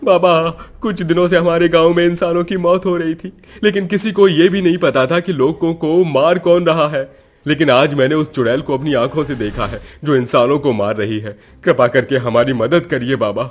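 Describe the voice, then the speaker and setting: A man's voice: on the high side, steady tone, normal speed, voice pushed up, lightly acted. A man voicing an agitated village headman in a horror story, urgently begging for help. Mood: panicked, urgent. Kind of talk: dramatized horror story acting.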